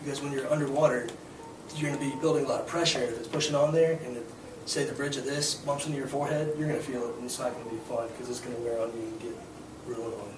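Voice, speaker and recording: A hoarse young man teaching a scuba class, explaining gear and skills in a casual, filler-heavy way. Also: mid-range voice, little pitch movement, fast. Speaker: scuba instructor. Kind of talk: hands-on demonstration to a class. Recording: echoey room, distant mic, noisy.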